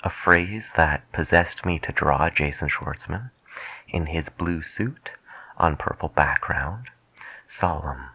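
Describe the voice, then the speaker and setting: A man acts as a late-night radio DJ with a very deep, slow voice, recorded close and dry. He is soft-spoken and musing, in a hushed ASMR roleplay manner. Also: animated, husky, dry recording, full character voice. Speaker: radio host.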